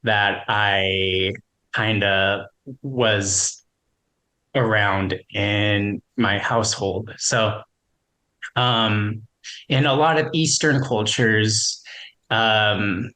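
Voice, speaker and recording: Man, stutters, webinar panelist, very slow pace, lowish voice, video call. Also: fairly even intonation, pushed and bright, rough recording. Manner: stutters, with repeats and many fillers. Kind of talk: personal reflection in a webinar.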